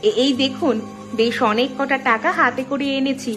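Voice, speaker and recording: A woman acts out a poor young village girl from a cartoon folktale. Her voice is very high, earnest and trusting, with polite innocence. Music plays behind it. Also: fairly even intonation, moderate pace, natural voice. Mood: eager, polite. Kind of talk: Bengali cartoon folktale acting.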